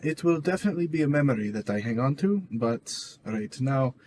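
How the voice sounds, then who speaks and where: A man's voice: medium pitch, animated, fairly quick, darkish tone. A man playing a tabletop role-playing game, speaking casually and hesitantly, in and out of character. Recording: noisy room ambience.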